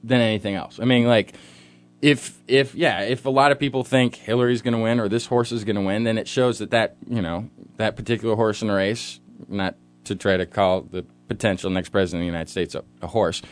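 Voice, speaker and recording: A man's voice: lowish voice, steady tone, fairly quick. A man co-hosting a casual interview, thinking aloud with many restarts and joking asides.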